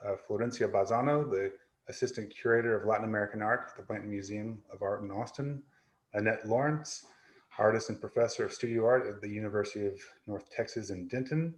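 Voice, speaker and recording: A man, the director of a gallery, formally introducing a panel of guests and thanking them for joining. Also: fairly low pitch. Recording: roomy echo.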